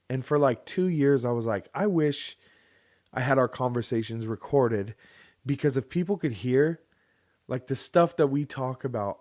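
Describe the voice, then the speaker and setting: A man in his thirties hosts a relaxed podcast, talking loosely and frankly. His speech rambles along with restarts and the odd curse word. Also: medium pitch. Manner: rambling, frank, with mild swearing. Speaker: podcast host. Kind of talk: casual podcast chat.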